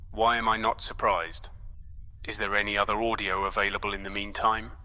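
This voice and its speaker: A man's voice: lowish voice, flat delivery, unhurried, bright, forceful tone. An actor playing a curt, suspicious, commanding man in an audio drama. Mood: suspicious, irritated.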